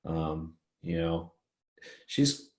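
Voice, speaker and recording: A middle-aged man with a deep baritone voice, chatting in a relaxed, rambling way as a podcast guest and laughing often. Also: extremely slow, roomy sound. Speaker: guest singer-songwriter. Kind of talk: casual interview chat.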